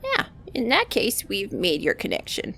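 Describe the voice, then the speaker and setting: A woman acting an unhelpful, pedantic window clerk in a radio play, deadpan and bureaucratic. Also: medium pitch, moderate pace, heavily acted. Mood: bored, unhelpful.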